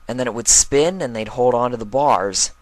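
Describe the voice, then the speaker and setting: Man acting a young female pony archaeologist, raised pitch, earnest, eager, anxious, storybook character, dry sound. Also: normal speed, no echo, lightly acted, rough recording. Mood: curious, excited.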